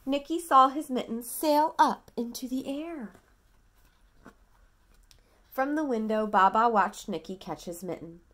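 A woman in her thirties reading a picture book aloud to a toddler at home, dramatically, pausing to ask simple questions. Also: on the high side, a bit bright. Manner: dramatic, childlike questioning and prompting. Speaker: parent reading a bedtime story to a toddler. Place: small quiet room at home.

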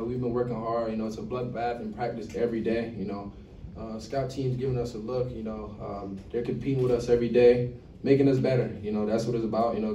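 A young man talks through his answers loosely, leaning on "you know" every few words. His voice sits mellow and unhurried. The sound comes from a mic across a roomy press space with a faint hum behind it. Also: medium pitch. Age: young adult. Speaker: college basketball player. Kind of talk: postgame press conference answers. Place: press conference room. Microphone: table mic, recorded from across the room. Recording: echoey room, distant mic, faint hum.

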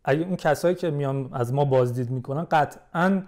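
A man's voice: a little high, a little mellow. A man speaking as a podcast guest, explaining things in casual conversation.

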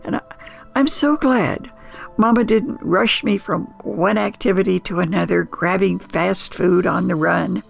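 An older American woman telling nostalgic personal stories as host, in a folksy, unhurried manner with emphatic pauses. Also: lowish voice, vocal fry, soft background music. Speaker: storyteller and host.

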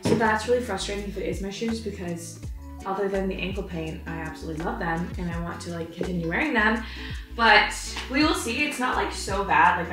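Upbeat young woman vlogging in her kitchen, roomy sound, music under it, says like a lot. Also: mid-range voice. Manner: chatty, upbeat, lots of "like" fillers. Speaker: fitness vlogger. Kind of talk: casual vlog chat to camera. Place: home kitchen and living room. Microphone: camera on counter, speaking from a few feet away.

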